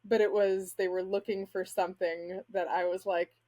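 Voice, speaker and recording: Chatty, self-deprecating woman with slightly husky voice. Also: medium pitch, flat delivery, moderate pace, mellow. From Spain. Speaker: guest author and literary agent. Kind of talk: casual interview chat.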